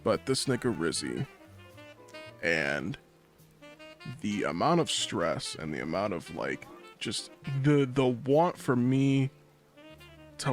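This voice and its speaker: Guy, lowish voice that moves around a lot, halting casual storytelling, faint music behind. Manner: halting, slangy, emphatic. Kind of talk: casual chat, personal recollection.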